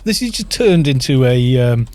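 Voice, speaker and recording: A man's voice: mid-range voice, sing-song, normal speed, warm tone. A British man in his fifties chatting in a rambling way while streaming a game and reading out viewer chat. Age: 50s. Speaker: gaming streamer.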